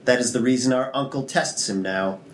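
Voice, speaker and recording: A man's voice: mid-range voice, a little fast, slight background noise, close to an everyday voice. An actor playing an arrogant, lustful imperial nobleman with a pompous, condescending manner at a script table read. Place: small indoor room at a table.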